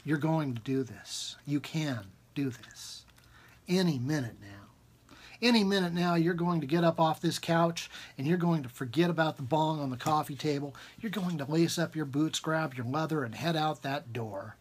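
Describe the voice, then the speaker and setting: A man in his forties with a husky voice reads his own fiction aloud informally and expressively, with emphatic rhythm and dramatic pauses, at a desk in a room at home. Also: fairly high pitch. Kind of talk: expressive literary reading, informal. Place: small book-lined room at home, indoors.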